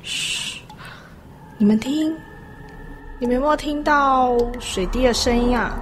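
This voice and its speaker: A woman's voice: sits high, unhurried. A woman playing a sensible, level-headed schoolgirl with a Taiwanese accent in a student radio drama. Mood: uneasy, wondering. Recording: noisy amateur radio drama recording.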